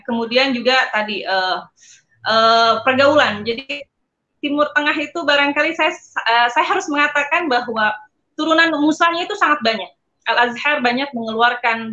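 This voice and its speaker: A woman's voice: a little high, bright, forceful tone. A woman with an Indonesian accent, a guest speaker informally recounting and explaining personal experiences. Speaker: guest speaker, former student abroad. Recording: slightly dull, lo-fi stream audio.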